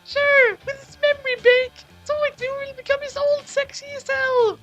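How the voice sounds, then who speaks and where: A man's voice: extremely high pitch, flat delivery, normal speed, pushed and bright, muffled recording, soft background music, pitched above the performer's own voice. A man voicing an indignant, shrieky, overdramatic woman in a comic story. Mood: eager.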